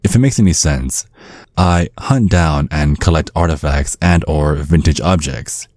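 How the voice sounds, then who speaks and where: A man acting a menacing, courteous old vampire father in an ASMR roleplay, speaking in measured, slyly threatening tones. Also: extremely low pitch, darkish tone, no echo, clean studio sound. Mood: smug, courteous. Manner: measured, veiled threats under courteous small talk. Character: menacing ancient vampire father, polite, sly and controlling.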